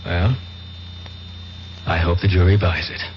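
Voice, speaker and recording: An actor playing a skeptical, probing defense lawyer in a 1970s radio drama, with an incredulous manner. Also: male voice, deep voice, fairly even intonation, measured pace, over loud music. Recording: muffled, noisy 1970s radio recording.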